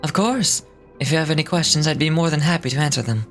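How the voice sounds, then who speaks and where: A woman's voice: deep voice, quick pace, faint music behind, voice pushed down. A woman reading game dialogue aloud as a courteous, romantic male dreamweaver, formal and poetic in delivery.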